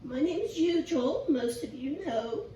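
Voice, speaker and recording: Woman lay preacher, squeaky and raspy, self-deprecating, slow delivery, distant echoey church recording with faint hiss. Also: dark tone. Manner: chatty, self-deprecating, asks the congregation questions. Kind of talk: informal sermon. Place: church sanctuary.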